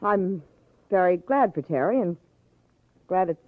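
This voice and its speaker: A young woman, anxious and defensive in a vintage radio crime drama. Her halting lines swing a lot in pitch. The broadcast sounds thin, tinny and very dry. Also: animated, no echo. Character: nervous young woman caught lying, defensive.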